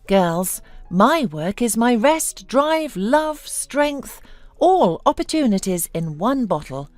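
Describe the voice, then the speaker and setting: Casting: female narrator as scornful, domineering businesswoman wife for audio story, theatrical, swooping pitch, dry close sound. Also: big pitch swings, slow, a little mellow, no room sound, faint music behind. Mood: proud. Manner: mocking, theatrical, exclamatory.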